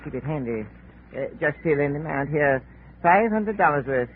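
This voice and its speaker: An actor playing a crusty, grasping old islander in a 1940s radio drama, with speech and folksy, hesitant haggling. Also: male voice, fairly high pitch, animated, normal speed, close to an everyday voice. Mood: sly, grasping. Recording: tinny, hissy 1940s radio recording.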